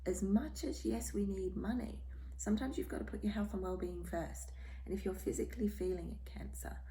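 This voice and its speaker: A hoarse, croaky woman giving a tarot reading in a soft, half-whispered, reassuring way. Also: medium pitch, brisk, slight background noise, rough recording. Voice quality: croaky, throat-clearing. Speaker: tarot reader. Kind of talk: casual tarot reading monologue.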